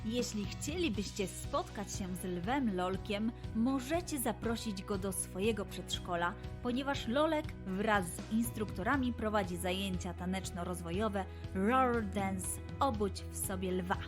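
A woman narrating and hosting a children's story podcast, deliberately addressing young listeners. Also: mid-range voice, over music.

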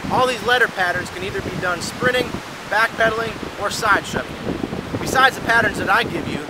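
A man's voice: high voice, brisk. A young man hosting his fitness channel, coaching exercises outdoors on a beach in an upbeat, direct manner. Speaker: fitness trainer and channel host. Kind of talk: instructional fitness vlog. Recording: loud wind noise on the mic. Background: loud wind and surf noise.

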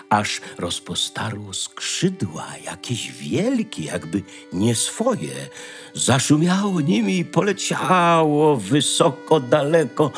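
A man narrating a fairy tale in a theatrical, expressive storytelling manner. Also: medium pitch.

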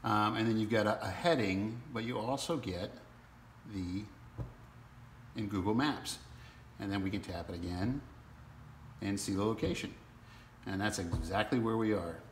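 A man giving a casual, halting step-by-step walkthrough as a product demonstrator in a small indoor room. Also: lowish voice. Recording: roomy sound with faint background noise.

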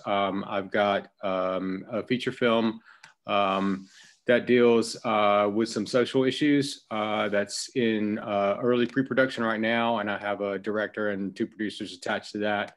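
A man from rural North Carolina, a writer-producer introducing his projects on a video call, halting with many fillers. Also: fairly low pitch. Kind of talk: self-introduction pitch.

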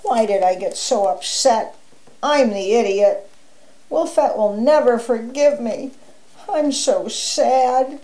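A woman voicing an excitable, moody male wolf with an emotional, exclamatory way of talking in a children's story. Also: a little high, takes it slowly, rough recording. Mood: sad, remorseful.

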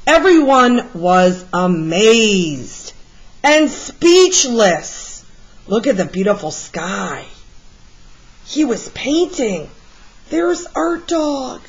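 Slow-paced woman reading a children's story. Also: a little high, husky. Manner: deliberate, with animated emphasis for young listeners. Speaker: art teacher reading a picture book aloud. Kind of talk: expressive read-aloud storytelling for children. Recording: slightly muffled, lo-fi sound.

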